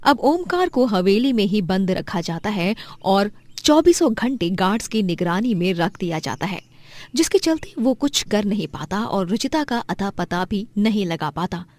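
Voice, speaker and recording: A woman's voice: medium pitch, big pitch swings, moderate pace, darkish tone. A woman reading a scripted story as its narrator.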